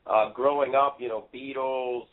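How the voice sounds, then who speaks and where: A man's voice: medium pitch, steady tone, normal speed. A male band member as a guest in a casual interview, speaking earnestly and rambling with many fillers. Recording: tinny phone-line sound.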